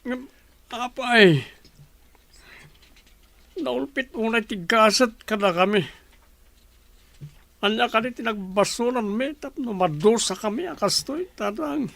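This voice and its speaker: Man acting a heartbroken, creaky-voiced young man. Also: extremely high pitch, slow pace, pauses often, fairly bright tone, no echo, heavily acted. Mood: sorrowful, bitter. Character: heartbroken, despairing young man pining for lost love. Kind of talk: Filipino audio drama acting.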